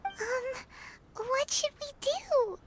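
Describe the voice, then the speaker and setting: A woman's voice: very high voice, animated, extremely slow, heavy background noise. A woman streaming a game, reacting with hesitant asides. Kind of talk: live gameplay commentary.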